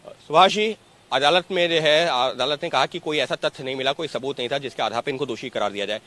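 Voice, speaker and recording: A man's voice: medium pitch, fairly quick, pushed and bright. A man working as a TV reporter, asking questions in a live news interview. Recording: outdoor street noise behind.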